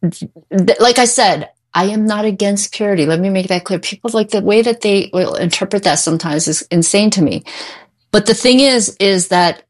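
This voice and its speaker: A woman's voice: mid-range voice, moderate pace. A middle-aged woman giving emphatic, opinionated answers as a therapist guest in a conversational interview. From born in Korea, immigrated at age five. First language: English. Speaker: guest, mental health therapist.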